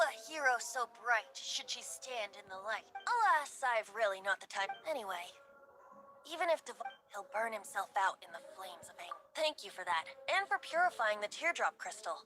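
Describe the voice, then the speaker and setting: Woman as an animated, playful boyish bard. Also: medium pitch, lightly acted. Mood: playful, theatrical. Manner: lilting, theatrical, slips into verse. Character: youthful male wandering bard, playful, breezy and theatrical. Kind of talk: video game character acting.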